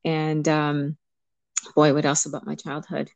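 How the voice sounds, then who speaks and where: Reflective woman coach with gentle ums. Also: deep voice, completely flat delivery, gravelly, no room sound, dull audio. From Cuba. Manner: warm, reflective, pauses with ums. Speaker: empowerment coach, guest. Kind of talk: interview conversation.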